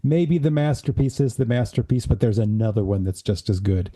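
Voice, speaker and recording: Guy hosting a chat show, raspy dry throat, super mellow dark voice. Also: medium pitch, expressive, brisk. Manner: friendly, leads the conversation. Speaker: podcast host. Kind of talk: casual chat.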